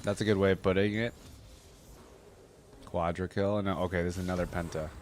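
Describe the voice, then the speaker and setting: A man's voice: sits low, fairly even intonation, brisk, slight background noise. A man giving casual, conversational reaction commentary, musing aloud as he goes. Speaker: reaction video host.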